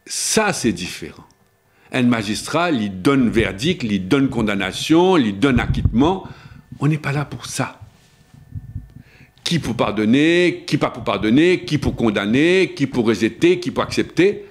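Older preacher. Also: male voice, lively intonation. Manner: shifts between spoken pitches, slowing for emphasis. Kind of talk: Lenten sermon to camera. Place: small studio before a blue backdrop, seated at a table. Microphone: table microphone on a stand.

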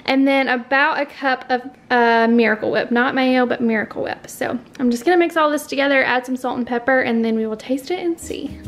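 A woman's voice: high-pitched, brisk, few pauses. A young adult woman, casually narrating her home cooking in a chatty, informal way. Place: home kitchen, indoors. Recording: clattering kitchen noise.

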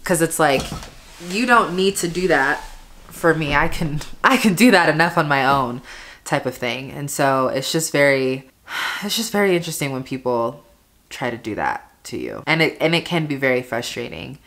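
Low-voiced young woman chatting over makeup. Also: expressive, normal speed, a bit bright. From family from Panama, raised in America. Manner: rambling, lots of "like" fillers. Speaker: beauty vlogger. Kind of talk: casual get-ready-with-me chat while doing makeup. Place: bedroom at home, indoors.

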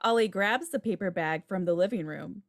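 A woman reads out script directions for a table read. Her voice sits at a middle pitch, recorded on a video call. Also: brisk, creaky. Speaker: stage directions reader. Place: indoor room, video call. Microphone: video call microphone.

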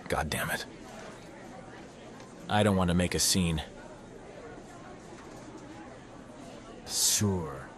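Low-voiced cynical teenage boy, played by a man. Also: slow, a crowd in the background. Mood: exasperated. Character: cynical, anxious, foul-mouthed male high school student. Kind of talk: first-person visual novel narration and dialogue.

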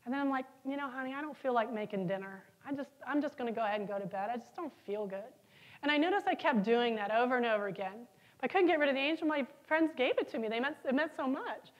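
High-voiced woman giving a keynote. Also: quick pace, highs cut off. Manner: lively storytelling, asks the audience questions. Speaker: keynote speaker. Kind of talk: conference keynote speech. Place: large conference hall, on stage.

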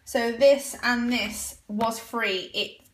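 Young woman vlogger, slow, chatty, hesitant; home kitchen recording with background noise. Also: on the high side. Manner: chatty, hesitant with frequent ums and "I think". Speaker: vlogger showing a grocery haul. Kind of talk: casual chat.

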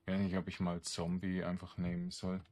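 A man's voice: low voice, completely flat delivery, brisk, darkish tone. A man giving casual gameplay commentary, thinking aloud in short, loose fragments. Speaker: gamer streaming a let's play.

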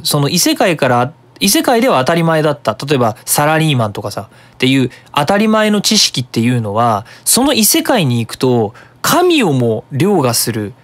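Male radio host, very lively intonation, chatty and colloquial, dry close sound, casual solo talk. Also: fairly high pitch, no room sound.

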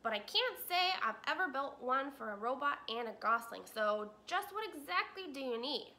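A woman voicing a stubborn, gruff male beaver who grumbles and speaks bluntly in a folksy way. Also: high voice, sing-song, moderate pace, pushed and bright, heavily acted.

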